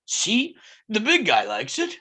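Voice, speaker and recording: A man's voice: huge pitch swings, sharp tone, heavily acted. A man reading the part of a brash, wisecracking middle-aged circus owner with a blunt, joking manner. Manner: joking, blunt, fast-shifting pitch. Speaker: table read actor. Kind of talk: live table read acting.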